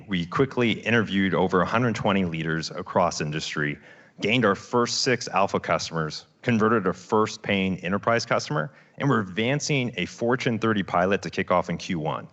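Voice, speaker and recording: A man co-founder delivering a confident, salesy startup pitch on stage. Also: expressive, moderate pace, a bit bright, dull audio. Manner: confident, salesy, building to asks.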